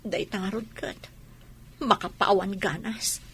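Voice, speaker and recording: Actress as a fretting soldier's wife: bright, tense, husky, slightly high. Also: female voice, fairly even intonation, measured pace. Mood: fretful. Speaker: radio drama actress. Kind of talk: Ilocano radio drama acting.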